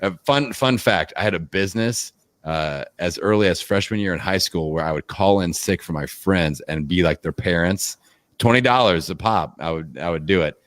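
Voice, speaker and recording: A man with a deep voice talking as a guest in a casual interview, energetic and rambling, with many fillers. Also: normal speed, dry recording. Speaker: podcast guest, fitness coach. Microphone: video call.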